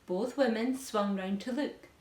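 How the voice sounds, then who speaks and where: A woman with a fairly high voice narrates a children's storybook. Her reading is expressive and emphatic, with dramatic phrasing, and the recording has a noticeably roomy echo. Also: measured pace, heavy background noise. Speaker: teacher reading a story aloud to pupils.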